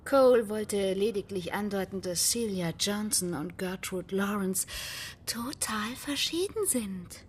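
An actress playing a composed, dry-witted assistant to a playwright in a German radio play. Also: female voice, medium pitch, lively intonation, measured pace, a little hiss or hum, lightly acted. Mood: dry, placating.

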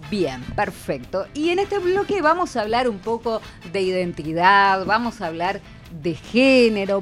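A woman hosting a community radio segment with school students, presenting in a friendly, upbeat way. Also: fairly high pitch.

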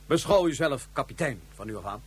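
An actor playing an imperious, calculating fugitive ruler from Mars in a science fiction radio play, speaking in curt orders. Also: male voice, medium pitch, animated, a little fast, husky. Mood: pleased, commanding. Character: haughty, scheming fugitive ruler of a Martian realm.

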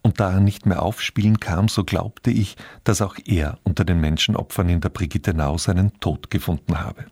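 Deep, husky man presenting. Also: moderate pace, few pauses, a little mellow, no room sound. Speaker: radio presenter introducing a radio play. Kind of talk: scripted narration, cultural radio introduction. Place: radio studio.

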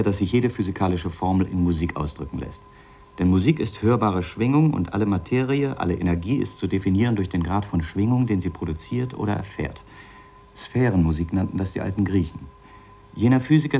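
An actor playing an eager scientist in a 1970s German radio drama, explaining bold theories in an insistent, professorial way. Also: male voice, fairly low pitch, dark tone, breathy edge.

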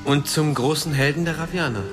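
An actor playing a troubled, sceptical young hero in a fantasy radio play. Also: male voice, fairly high pitch, brisk, lightly acted. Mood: doubting, wry. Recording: music under the voice.

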